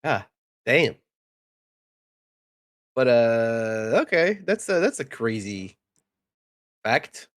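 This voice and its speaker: Teasing podcast co-host, animated pitch, dry close sound. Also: very slow, pauses often, no echo. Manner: teasing, joking banter. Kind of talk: casual online chat.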